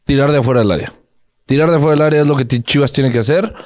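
A man's voice: mid-range voice, very fast, darkish tone, no room sound, studio quality. A young adult man with a Mexican Spanish accent, co-hosting a fan podcast. He teases his friend and gives confident match predictions in slangy talk. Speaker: podcast co-host. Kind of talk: casual chat.

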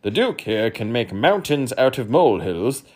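A man voicing a huge, irritable old king who booms out slow, commanding orders. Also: sing-song, a little mellow. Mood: imperious.

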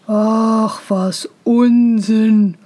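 Lady doing a gruff old-school storybook dad, really slow and drawn out, scornful, dismissive. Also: a little high, steady tone, warm tone. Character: gruff, scornful father of grown sons. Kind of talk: fairy tale storybook reading.

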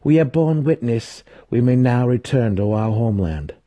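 A man voicing a grim, fanatical female dark elf cleric in an imperious, declamatory way for a fantasy audiobook. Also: medium pitch, animated, normal speed, pitched above the performer's own voice, lightly acted. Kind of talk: dramatic fantasy audiobook character.

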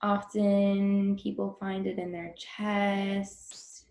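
A woman leads a guided breathing meditation at a slow, unhurried pace. Her voice has a noticeable creak at an average pitch, and she instructs gently and informally. The sound is roomy, with audible echo. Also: little pitch movement.

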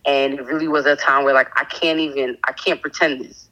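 A woman in her thirties, a podcast guest sharing a candid personal testimony in a halting way, with many fillers and restarts. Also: very deep voice, fairly even intonation, bright, forceful tone, muffled recording. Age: 30s.

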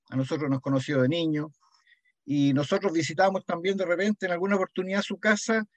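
Older man, Chilean accent, slightly high voice, conversational reminiscing, hesitant with repeated words, dull dry recording. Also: no echo. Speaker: interviewee, heritage association founding member.

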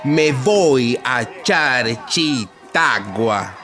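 Man playing a coaxing, slow-talking Italian seducer. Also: medium pitch, animated, sharp tone, soft background music, full character voice. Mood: playful. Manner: coaxing, mixing Italian words into Spanish. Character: sweet-talking Italian immigrant seducer, a scoundrel. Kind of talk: radio soap opera acting.

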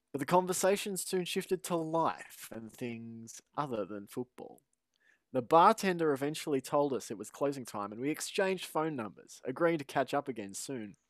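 A man's voice: medium pitch, fairly bright tone, no room sound. A man co-hosting a sports podcast, chatting playfully and reading a story aloud. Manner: playful, laughs often. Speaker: podcast co-host.

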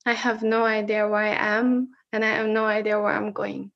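A woman from the Netherlands with a Dutch accent, sharing personal reflections on a video call in a slow, searching way with frequent restarts. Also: medium pitch, completely flat delivery, vocal fry, muffled recording. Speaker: session facilitator.

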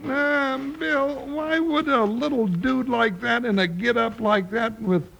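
A man playing a comical, big-talking cowboy deputy in an old radio Western, with a folksy American Western drawl and a gravelly high voice. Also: takes it slowly, full character voice. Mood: curious. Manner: blustery, folksy. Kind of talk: 1950s Western radio serial acting. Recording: noisy, crackly old radio recording.

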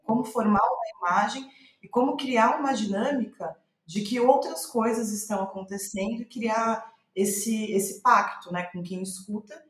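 A woman's voice: fairly high pitch, normal speed. A woman with a Brazilian Portuguese accent speaking reflectively in an interview, thinking aloud and restating her phrases as she goes. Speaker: playwright, podcast guest. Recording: roomy, echoey sound.

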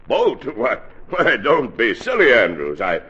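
A man's voice: medium pitch, wildly swooping pitch, measured pace, bright, forceful tone, breathy edge, exaggerated voice. A man playing an officious, stuffy estate official with a pompous, hemming manner, in 1940s radio comedy. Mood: flustered. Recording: dull, lo-fi 1940s radio recording.

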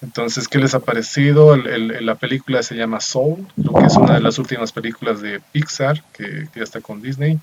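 A man's voice: medium pitch, a little fast, few pauses, darkish tone, muffled recording, a little hiss or hum. A man with a Bolivian accent who hosts a casual chat reviewing a film, rambling with many fillers and tag questions. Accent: Bolivian Spanish.

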